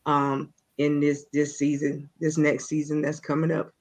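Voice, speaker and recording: A woman's voice: deep voice, monotone, unhurried, a little mellow. Woman host, preachy motivational delivery. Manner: preachy, repeats phrases, checks in with listeners. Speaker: radio show host. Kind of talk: faith-based motivational talk.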